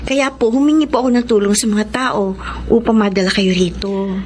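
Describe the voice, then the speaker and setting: A woman's voice: mid-range voice, brisk, a little mellow, a little hiss or hum, lightly acted. An actress playing a humble, grateful young single mother in a Filipino radio drama, speaking politely and earnestly. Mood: polite, gentle.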